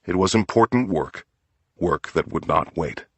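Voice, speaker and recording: A man narrates a crime story in a very low-pitched voice, recorded close and dry. The delivery is scripted audiobook storytelling, with a slightly rough, husky edge. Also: animated, unhurried, no room sound.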